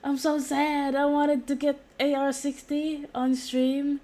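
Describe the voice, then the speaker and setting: A woman's voice: high voice, little pitch movement, slow, mellow. A woman livestreaming a game with casual, giggly, rambling commentary. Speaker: streamer. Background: video game audio.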